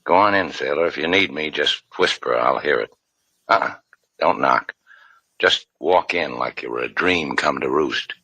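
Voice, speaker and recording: Forceful, wry hard-boiled adventurer. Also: male voice, extremely low pitch, normal speed, breathy edge, no room sound, full character voice, poor audio. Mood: low, coaxing. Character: tough, wry male American adventurer in Havana. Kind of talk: 1950s radio drama acting.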